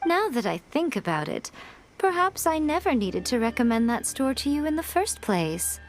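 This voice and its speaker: Fox shrine priestess, playful, smug, unhurried, fairly high voice, soft music behind. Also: female voice. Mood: teasing. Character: sly, teasing, elegant fox shrine priestess. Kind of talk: video game character dialogue.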